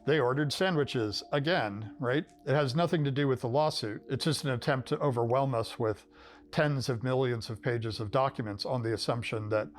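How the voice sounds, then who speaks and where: A man's voice: medium pitch, normal speed. A man explaining events in a documentary interview, with wry asides.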